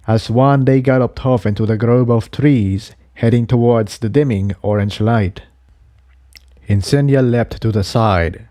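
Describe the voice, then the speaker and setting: A man's voice: lowish voice, mellow, no room sound, clean studio sound. A man reading an adventure story aloud as scripted narration. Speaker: audiobook reader of fan fiction.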